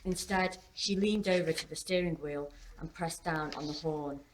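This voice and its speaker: A woman author reading her own novel aloud as expressive story narration. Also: deep voice, moderate pace, a little hiss or hum, low-quality recording.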